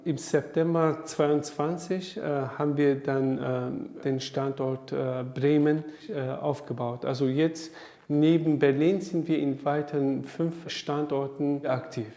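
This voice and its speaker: Man, gravelly and mellow, hesitant interview talk, roomy echo. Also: fairly high pitch, fairly even intonation, a little slow. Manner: hesitant, repeats words while explaining. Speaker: association staff member. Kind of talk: interview statement.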